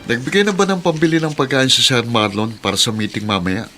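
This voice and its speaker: An actor playing a casual, gently wheedling male office boss in a Tagalog radio drama. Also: medium pitch, a little fast, soft background music, natural voice. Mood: coaxing.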